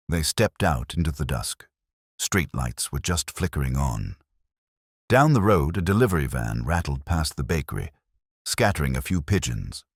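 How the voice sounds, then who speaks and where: A man's voice: extremely low pitch, no echo, clean studio sound. A man reading a scripted mystery story as a narrator. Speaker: audiobook narrator.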